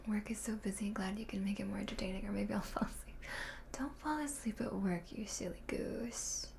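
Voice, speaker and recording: A woman streaming ASMR, chatting casually with her viewers in a soft voice that often drops to a whisper, close to the microphone. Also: medium pitch, a little fast, creaky, a little hiss or hum.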